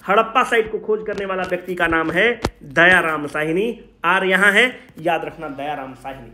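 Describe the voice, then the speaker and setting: A man's voice: sits high, fairly bright tone. A man teaches an exam class in an echoey room. He speaks to students directly and informally, posing questions and then answering them himself. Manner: switches between Hindi and English. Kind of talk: energetic lecture to exam students.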